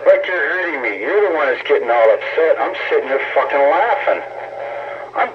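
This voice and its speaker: A man's voice: a little high, very animated, brisk, a crowd in the background. Tinny CB radio voice, sarcastic American man. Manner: sarcastic, taunting, profane. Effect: CB radio transmission. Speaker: CB radio operator. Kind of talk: argumentative radio banter. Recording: tinny CB radio signal, faint static.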